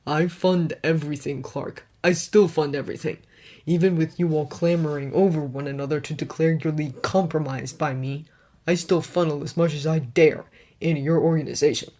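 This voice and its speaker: A woman voicing a stern, guarded wealthy man who talks in a clipped, hard, deadpan way. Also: low voice, normal speed. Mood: weary.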